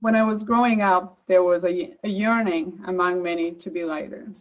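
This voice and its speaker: A woman's voice: fairly low pitch, normal speed, poor audio. A woman from Uganda with an East African accent gives a prepared personal speech as an advocate, speaking earnestly.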